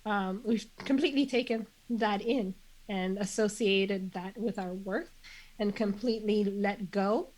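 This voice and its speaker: A slow-speaking woman guest sounds congested. She talks earnestly and in measured phrases, often pausing on fillers and restarting as she builds her point. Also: mid-range voice, darkish tone, a little hiss or hum, poor audio. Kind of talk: reflective conversational interview.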